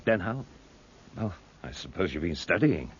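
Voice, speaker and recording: An actor playing a gruff, doubtful naval officer with an English accent, blunt and hesitant, in a 1950s radio drama. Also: male voice, low-pitched, very animated, normal speed, full character voice. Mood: unsure. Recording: muffled, noisy 1950s radio recording.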